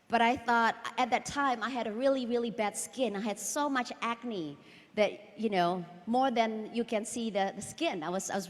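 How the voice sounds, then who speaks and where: Woman giving an earnest stage talk. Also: on the high side. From Indonesia. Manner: earnest, repeats words, asks the audience questions. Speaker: conference speaker. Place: large hall. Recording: long hall reverb.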